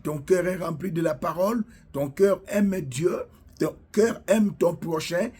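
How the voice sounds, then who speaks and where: Slow husky-voiced preacher. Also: male voice, high-pitched, a little mellow. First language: Lingala. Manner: fervent, punctuates lines with alleluia; slow, drawn-out declaring delivery with repetitions. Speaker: preacher and self-styled prophet. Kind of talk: prophetic sermon with declarations.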